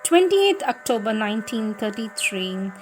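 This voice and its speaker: A woman reading spiritual letters aloud in a devotional style, with deliberate delivery. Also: on the high side, sing-song. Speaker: reader of spiritual teachings. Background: music in the background.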